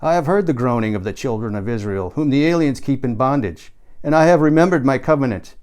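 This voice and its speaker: A man's voice: mid-range voice, lively intonation, quick pace, a little mellow, natural voice. A man voicing a lunatic who declaims grandly as a wrathful biblical God. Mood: wrathful, booming.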